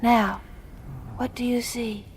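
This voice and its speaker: A woman playing a cute, coaxing little girl in a radio drama, giving gentle, breathy commands. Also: on the high side, level tone, slow pace, noisy background. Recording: long reverb, music behind.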